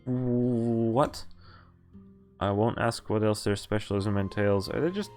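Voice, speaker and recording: A low-voiced man plays through a visual novel on stream and reads its text aloud in a plain voice. He drops quick casual asides and small laughs between lines. Soft game music plays quietly behind him. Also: steady tone. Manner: plain reading voice broken by quick reactions and chuckles. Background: faint game music.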